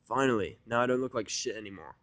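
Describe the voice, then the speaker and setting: A man voicing a gruff, cynical, blunt human who speaks curtly and grudgingly. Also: medium pitch, animated, quick pace, a bit bright, dry recording, lightly acted. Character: gruff, cynical, blunt human living reluctantly among ponies.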